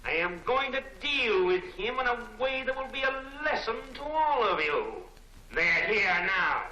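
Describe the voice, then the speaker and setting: An actor playing a menacing, imperious male crime boss in a 1940s film serial, speaking deliberately to his henchmen. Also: sits high, very animated, bright, forceful tone, full character voice. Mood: cold, threatening. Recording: echoey, faintly noisy 1940s film soundtrack.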